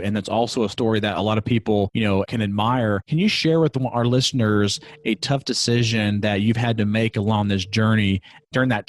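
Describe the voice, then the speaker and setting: A man hosting a podcast, reading a scripted intro and sponsor message in a smooth announcer-like way, then asking the guest questions. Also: lowish voice, dry recording. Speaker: podcast host.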